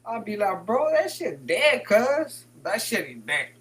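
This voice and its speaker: A man's voice: high-pitched, very animated, measured pace, fairly bright tone. A man hosting a live stream, casually reading chat comments, slangy and swearing, laughing often. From San Francisco Bay Area.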